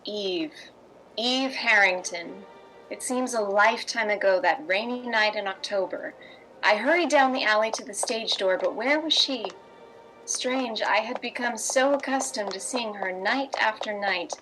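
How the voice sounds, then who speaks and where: A woman's voice: mid-range voice, a little slow, bright, forceful tone, faint music behind, rough recording. A woman playing a kindly, worldly playwright's wife in a 1950s radio drama. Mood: wistful, reflective.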